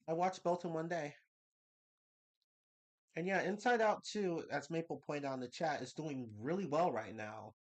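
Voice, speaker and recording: Rambling congested young man streaming. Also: fairly high pitch. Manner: rambling, restarts and laughs, quick bursts. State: slightly congested from a cold. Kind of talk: casual live-stream chat.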